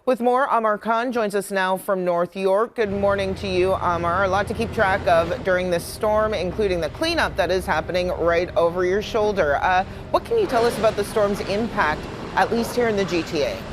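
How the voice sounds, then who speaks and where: A woman's voice: mid-range voice, moderate pace, noisy background. A woman presenting broadcast news as an anchor.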